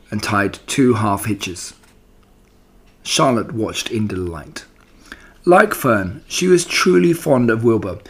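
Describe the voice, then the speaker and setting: A man reading a children's story aloud in an expressive narrating style. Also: lowish voice, breathy edge. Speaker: storybook reader.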